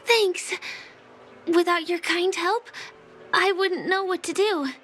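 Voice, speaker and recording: A woman's voice: very high-pitched, slow, a bit bright, soft background music, heavily acted. A woman voicing a sweet, polite young female performer and friendly guide in a video game. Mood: grateful. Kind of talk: scripted video game dialogue.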